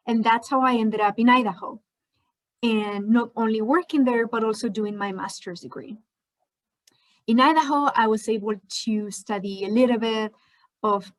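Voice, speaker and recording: A woman's voice: fairly high pitch, a bit bright, poor audio. A woman in her twenties whose first language is Spanish, narrating an educational slideshow as a PhD student, in a friendly manner with frequent fillers. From Spain.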